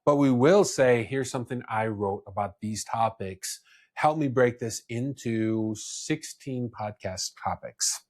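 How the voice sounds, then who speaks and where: A man's voice: fairly low pitch, darkish tone. A man hosting a podcast, talking casually and explaining with personal examples, often repeating words as he goes. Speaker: podcast host.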